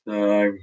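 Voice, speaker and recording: A man hosting a casual live stream from his room at home, losing his voice, rambling and restarting his sentences, heard through a laptop mic. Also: a little mellow. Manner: rambling, restarts often, chatty.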